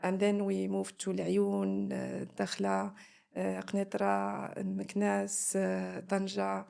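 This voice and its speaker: A woman's voice: medium pitch, monotone, slow, creaky, dark tone. A woman from Morocco, a guest on an interview podcast, talking with many fillers and restarts.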